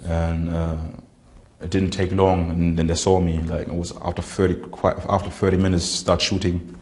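A man, recounting events haltingly in an interview and searching for words. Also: low-pitched, little pitch movement, a little mellow. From Germany. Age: 20s. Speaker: interviewee, former militant. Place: indoor room with barred windows.

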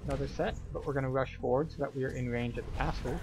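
A man's voice: mid-range voice, fairly even intonation, rapid-fire. A man hosting a game tour, explaining each step in an instructional, lightly playful way. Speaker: host of a game playthrough guide. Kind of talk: guided game commentary, partly scripted. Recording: music playing behind the voice.

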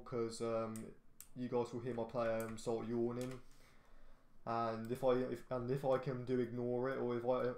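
A man's voice: mid-range voice, a little mellow, reverberant. A man gives casual, rambling game commentary from his bedroom, full of fillers and restarts. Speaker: gaming YouTuber. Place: echoey bedroom.

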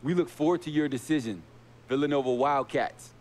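An actor playing a confident, flattering male college recruiter in a game cutscene, giving a polished professional sales pitch. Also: fairly quick, slight background noise, natural voice. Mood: flattering, assured.

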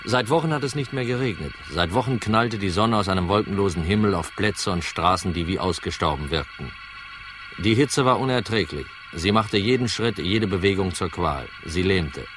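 An actor playing a gruff, weary hard-boiled insurance detective in a crime radio drama, dry and laconic. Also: male voice, sits low, animated, moderate pace, noisy background. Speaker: narrator and lead actor.

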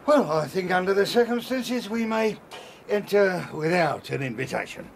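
An actor playing a plain-spoken, cautious British police inspector in a radio drama, with a blunt, questioning manner. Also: male voice, sits high, expressive, moderate pace, gravelly, background noise. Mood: wary.

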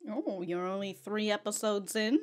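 A woman's voice: mid-range voice, moderate pace. A young woman hosting an anime reaction, chatting casually and bluntly, laughing often and swearing now and then, close to a studio mic in a small room. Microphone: studio mic on stand close to the face. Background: faint anime music and audio.

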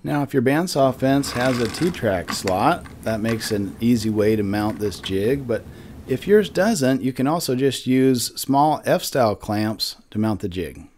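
A man's voice: medium pitch, animated, unhurried, a little mellow. A man in his forties giving a friendly step-by-step woodworking lesson in his wood shop. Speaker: woodworking instructor. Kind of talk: instructional shop tutorial. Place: wood shop with lumber racks, indoors.

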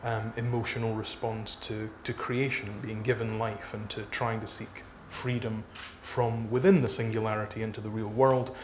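A man in his thirties speaking haltingly as an author being interviewed on stage. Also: on the low side, flat delivery. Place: small dark stage before a seated audience. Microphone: lapel mic. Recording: noisy live event room recording.

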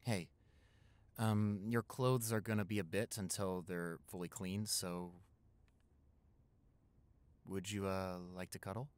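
A man's voice: low voice, fairly even intonation, slow, long pauses, clear, resonant tone. A young man playing a tender, playful, smitten new boyfriend in an intimate roleplay, talking in a conversational, hesitant, affectionate way. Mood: tender, soft. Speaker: roleplay audio performer.

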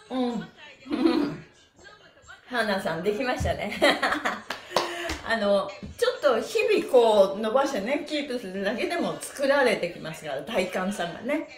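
A middle-aged woman hosting a live exercise stream in animated, cutesy and polite instructor patter. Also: fairly high pitch. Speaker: pantomime performer and stretch class host. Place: small indoor room with bare walls. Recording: roomy echo from camera mic.

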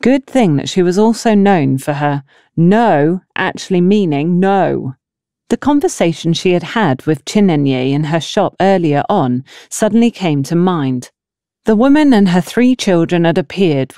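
Woman narrating a novel, lively and mellow. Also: on the low side, clean tone, no echo, clean studio sound. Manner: expressive storytelling with acted dialogue. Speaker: audiobook narrator.

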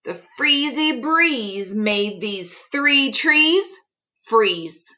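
A middle-aged woman teacher reading a rhyming picture book aloud expressively, articulating tongue twisters with deliberate care. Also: a little high, expressive, sharp tone. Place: small room at home, indoors.

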